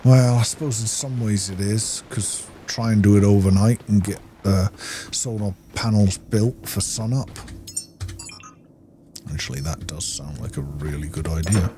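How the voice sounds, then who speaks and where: A man's voice: on the low side, measured pace, warm tone, a little hiss or hum. A man giving casual gameplay commentary, musing and thinking aloud to himself. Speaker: gamer, let's play host.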